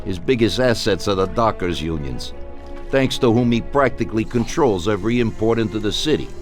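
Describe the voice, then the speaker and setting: An actor playing a calm male crime boss who lays out plans and gives orders in a video game cutscene. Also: on the low side, fairly quick, faint music behind, close to an everyday voice. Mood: commanding.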